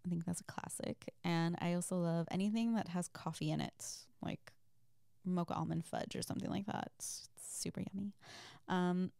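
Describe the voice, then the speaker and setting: A woman chatting casually as a host, reading out and answering listener questions in a soft, giggly, rambling and hesitant way. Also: lowish voice, steady tone, normal speed, vocal fry, smooth, no echo, clean studio sound. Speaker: audio creator and host.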